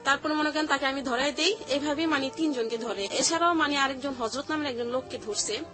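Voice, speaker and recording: Bangladeshi woman guest explaining stuff in everyday repetitive phrasing, high voice, music underneath. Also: brisk. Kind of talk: personal account in an interview. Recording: music playing behind the voice. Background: background music.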